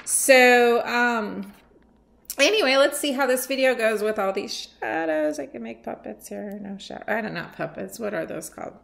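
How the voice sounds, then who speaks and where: A middle-aged woman chatting casually as she unboxes a swap package at home, rambling and thinking out loud, her voice a little worn as she comes down with something. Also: fairly high pitch, expressive, moderate pace, creaky. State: losing her voice, getting sick. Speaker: nail art swap host. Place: sunny room at home by a window.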